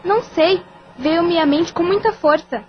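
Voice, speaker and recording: Soft-spoken caring daughter, voiced by a woman. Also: very high-pitched, a little slow, dull audio. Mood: gentle. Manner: soft, hesitant. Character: gentle, caring young adult daughter.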